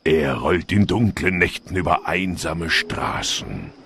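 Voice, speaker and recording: A man voicing a bold talking cartoon car with a gravelly, dramatic, drawn-out delivery. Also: low-pitched, sing-song, a bit bright, slight background noise, heavily acted. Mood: spooky, ominous. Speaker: voice actor in German dub. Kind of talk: animated cartoon dialogue.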